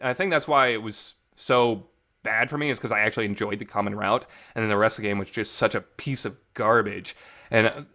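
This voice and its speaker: Blunt, bright-voiced man rambling casually. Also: on the low side, fairly even intonation, a little fast. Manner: blunt, rambling, repeats words for emphasis, swears. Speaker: reviewer, vlog host. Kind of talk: casual opinionated talk, unscripted review. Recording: reverberant home recording.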